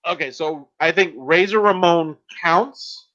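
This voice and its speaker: A man co-hosting a podcast in casual group chat, speaking haltingly as he thinks aloud. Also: fairly high pitch, bright, forceful tone. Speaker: podcast co-host. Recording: dull, lo-fi voice chat audio.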